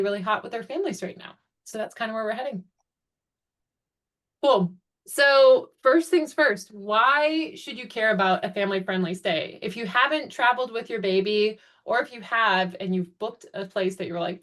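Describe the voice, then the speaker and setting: A woman's voice: medium pitch, fairly bright tone. Upbeat chatty woman presenting on a video call. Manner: upbeat, chatty, frequent ums. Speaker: guest speaker, travel company founder. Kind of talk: webinar presentation.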